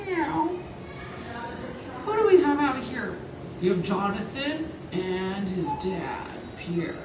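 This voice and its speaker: A woman's voice: medium pitch, very animated, slow pace, crowd noise, voice pushed down. A woman voicing a sly, hungry, playfully menacing bobcat puppet in a live children's show. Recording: roomy, distant mic, noisy ambience.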